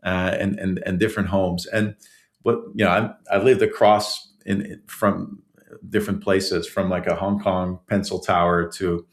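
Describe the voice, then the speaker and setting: A man's voice: low voice, level tone, measured pace. A man from the Netherlands, a guest author, answering interview questions in a hesitant way with many restarts and small laughs. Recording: roomy echo.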